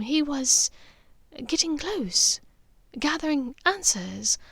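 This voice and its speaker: Lady doing a posh witch mom, high hushed voice, cunning and measured, audiobook story, dry sound. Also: breathy edge, no echo, full character voice. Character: composed, cunning aristocratic witch mother, middle-aged.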